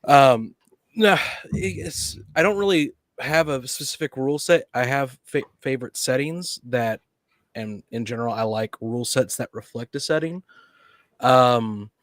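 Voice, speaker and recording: A man's voice: mid-range voice, dry recording. Man chatting casually, dry close sound. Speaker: podcast guest. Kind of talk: casual panel discussion.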